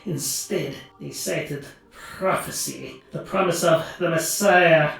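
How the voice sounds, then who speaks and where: A man's voice: fairly high pitch, slow pace, vocal fry, a bit bright, full character voice. A man voicing a scolding, haughty, frustrated old witch who leads a sect in a comic fantasy audio drama. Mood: solemn, bitter. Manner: haughty, chiding. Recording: echoey, distant, music underneath.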